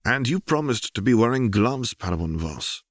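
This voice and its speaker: Affectionate male mentor, acted by a male narrator, instructive, pointed, pitched up, audiobook. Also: big pitch swings, moderate pace, no room sound, clean studio sound. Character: male master, patient affectionate mentor to a boy.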